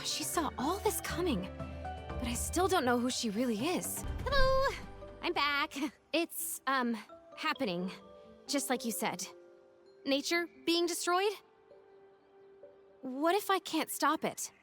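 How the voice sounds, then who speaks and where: A woman's voice: sits high, sing-song, takes it slowly, long pauses, a bit bright, full character voice. A woman voicing a plucky, determined and playful young heroine in a video game. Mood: curious. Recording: music behind the voice.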